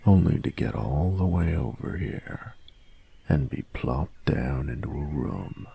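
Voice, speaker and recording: A man voicing a cocky, teasing young bully who is secretly smitten, in a husky, slangy intimate audio roleplay. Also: expressive, unhurried, mellow, exaggerated voice. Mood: mock-annoyed, cocky. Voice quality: very deep, gravelly, husky. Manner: swearing, teasing, smug slangy drawling delivery.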